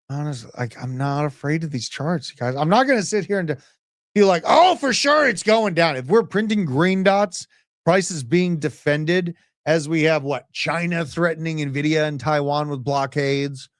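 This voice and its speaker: Brash man in his thirties, bright pitch. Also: no room sound, studio quality. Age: 30s. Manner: brash, animated, chats with live viewers. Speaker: crypto livestream host. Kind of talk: casual livestream market commentary.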